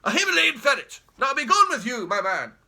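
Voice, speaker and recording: A man's voice: very high-pitched, big pitch swings, brisk, gravelly, voice pushed up, heavily acted. A man voicing a pompous, blustering old male explorer who barks insults. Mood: haughty. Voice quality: loud, barking, imperious.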